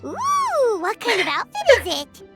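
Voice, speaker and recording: A squeaky little floating companion from a fantasy game bounces through her lines. Her voice sits extremely high and leaps around in pitch. She sounds nosy and excitable, with faint music under the dialogue. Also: a little slow, heavily acted. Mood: chirpy. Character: tiny floating girl companion, chirpy and nosy.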